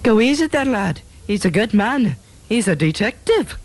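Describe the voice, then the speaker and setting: A man playing a gruff, bullying, scornful Scottish police chief in an amateur comedy radio drama. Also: high-pitched, very animated, moderate pace, exaggerated voice. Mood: scolding. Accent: Scottish, put on.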